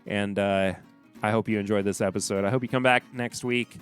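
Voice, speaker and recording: A man's voice: fairly low pitch, steady tone, brisk, faint music behind. A man hosting a podcast, wrapping up a solo episode in a rambling, chatty way with joking asides. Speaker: podcast host. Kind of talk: casual solo podcast outro.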